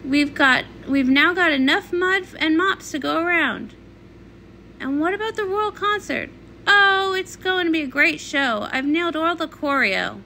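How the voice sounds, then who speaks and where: A woman performing an animated comic read-along, acting out the characters. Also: sits high, unhurried, vocal fry, slight background noise.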